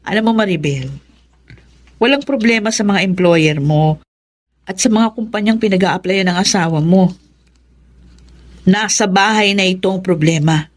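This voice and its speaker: A Filipino actress playing a preachy, superstitious older sister who scolds insistently in a radio drama. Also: female voice, medium pitch, unhurried, long pauses, lightly acted. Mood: probing.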